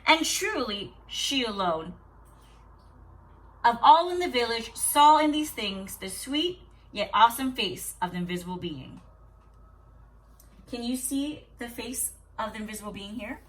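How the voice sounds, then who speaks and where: A woman teacher reading a story aloud to young children in an expressive, deliberate, instructive way with dramatic pauses. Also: fairly high pitch, low-quality recording. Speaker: kindergarten teacher.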